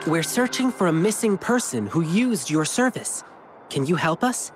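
Over clear game music comes a friendly young male lion dancer. His delivery is earnest and very animated, swinging freely in pitch as he reassures whoever he talks to. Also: moderate pace. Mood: determined, friendly. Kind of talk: video game character dialogue.

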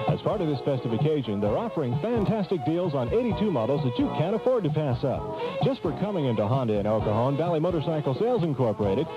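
A man's voice: a little high, dull audio. A man announcing as a DJ on 1980s music radio, reading station patter and ads. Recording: old radio broadcast tape, loud music. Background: loud music.

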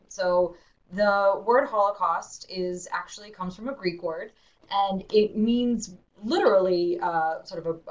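A woman in her thirties, a museum educator, clearly explaining terms and passing on students' questions from an indoor exhibit room. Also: medium pitch, low-quality recording. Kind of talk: webinar moderating.

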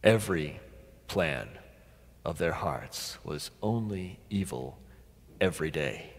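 A middle-aged man preaching a sermon as a pastor, deliberate and dramatic, with pauses and emphatic repetition. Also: low-pitched, expressive. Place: large church sanctuary, at the pulpit. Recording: long church reverb.

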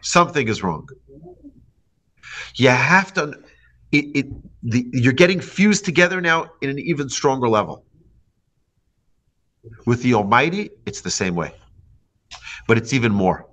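A man's voice: mid-range voice, a little slow, pauses often. Guy in his 40s giving a torah class, voice jumps up and down a lot, close studio mic. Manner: lively storytelling with hand gestures and acted quotes. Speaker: rabbi teaching a class. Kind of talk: animated Torah lecture. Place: indoor home studio before a plain wall. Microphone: large studio mic on boom arm, close.

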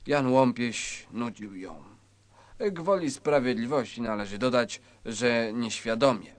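A man narrating a spoof crime story in a theatrical, deliberate, mock-dramatic style. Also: mid-range voice.

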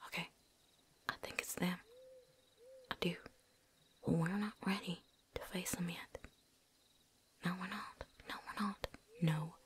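A woman's voice: fairly low pitch, a little hiss or hum, close to an everyday voice. A woman playing a chatty, cocky, seasoned vampire hunter in a whispered ASMR roleplay, rambling folksily. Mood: hushed, wary. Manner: folksy, rambling, with drawn-out asides.

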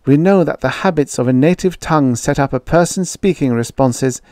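A man voicing a quick-tempered woman academic who argues and lectures with emphatic conviction. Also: on the high side, a little mellow, no echo, studio quality, pitched above the performer's own voice, lightly acted. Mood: insistent.

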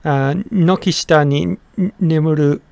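Middle-aged male teacher, slightly higher pitch, halting and reflective, dry close webcam sound. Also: fairly even intonation, gravelly, a little mellow, smooth, no echo. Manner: thoughtful, halting, many restarts and fillers. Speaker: song translator explaining lyrics. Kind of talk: casual explanatory lesson. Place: small room indoors.

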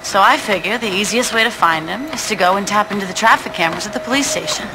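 A woman's voice: medium pitch, lively intonation, quick pace, noisy background, close to an everyday voice. A young woman playing a cheeky, stubborn female gang lieutenant in a video game, with a casual, defiant manner. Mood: teasing. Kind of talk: video game cutscene acting.

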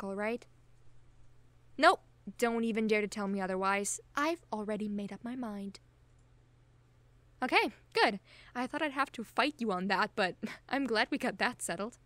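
Chatty, gently teasing young woman, acted. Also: on the high side, no echo. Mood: caring, playfully insistent. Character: friendly, caring, teasing young woman hosting her crush. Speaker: voice actor in an audio roleplay. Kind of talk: intimate ASMR-style audio roleplay, casual one-sided chat.

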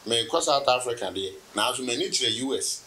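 A Ghanaian man in his thirties, a business guest in a relaxed sit-down interview, telling his story with laughs and anecdotes. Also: medium pitch, a little fast, slight background noise. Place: indoor room, seated on sofa.

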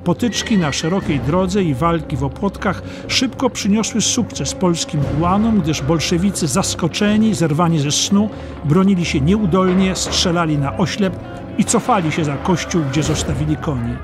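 High-pitched male audiobook narrator over music. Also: expressive, normal speed. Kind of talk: dramatic scripted narration of a war history.

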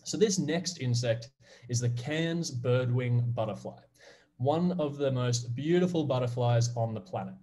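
A man's voice: medium pitch, unhurried, warm tone. Upbeat Australian man presenting online. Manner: friendly, upbeat, addressing kids. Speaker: guest presenter. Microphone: video call.

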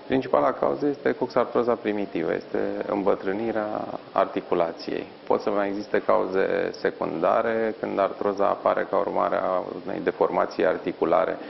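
A middle-aged man, an orthopedic surgeon, answering questions in a live TV interview from a hospital ward, measured and explanatory with frequent pauses. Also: low-pitched, fairly even intonation, gravelly, lo-fi sound, a little hiss or hum. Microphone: lapel mic.

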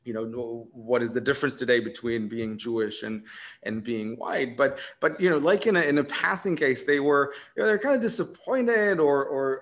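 A man in his thirties with an Israeli accent speaks over a video call from a room at home. His speech is reflective and academic, full of fillers, restarts and an ever-present "right?". The pitch sits in a middle range for a man. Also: a bit bright. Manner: thoughtful, many fillers and restarts, frequent "right?". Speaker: author in conversation.

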